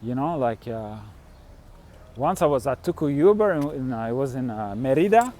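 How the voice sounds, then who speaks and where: A man from Turkey, chatting casually about his travels in a halting way, with many fillers and restarts. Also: medium pitch, big pitch swings, mellow. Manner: halting, full of "you know" and "like" fillers. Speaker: touring musician and vlogger. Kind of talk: casual chat.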